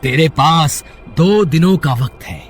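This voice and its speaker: A man's voice: a little high, animated, a little slow, soft background music. A man voicing a hungry, menacing severed-head ghost in a horror cartoon, threatening and commanding. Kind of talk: Hindi horror cartoon character voice.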